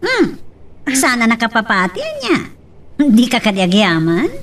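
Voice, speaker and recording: A possessive, taunting Ilocano rival, voiced by a woman in an audio drama. Her voice is high and very animated, heard from a little distance over noise. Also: measured pace, full character voice. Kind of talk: amateur audio drama acting. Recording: noisy, distant mic.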